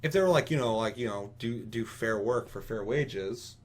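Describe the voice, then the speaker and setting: A man's voice: on the low side, moderate pace. A man co-hosting a casual gaming talk show, ranting with strong opinions in an emphatic, rambling, swear-heavy way. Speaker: podcast co-host.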